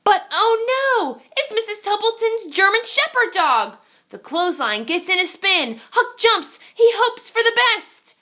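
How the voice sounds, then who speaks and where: A woman's voice: very high voice, lively intonation, a little slow, bright, forceful tone. A woman reading a children's picture book aloud in a theatrical, suspenseful way, drawing out her exclamations. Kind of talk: animated children's story read-aloud.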